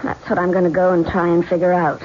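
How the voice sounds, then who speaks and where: A woman's voice: fairly low pitch, little pitch movement, fast talker, close to an everyday voice. An actress playing an anxious, easily frightened young woman in a 1970s radio drama. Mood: strained, guarded. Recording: dull, hissy old radio broadcast.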